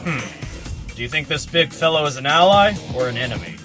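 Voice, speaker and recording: A man's voice: on the high side, animated, normal speed, over music, natural voice. A man playing a chatty, friendly, teasing stone warrior with eager banter in an amateur audio drama. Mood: wry.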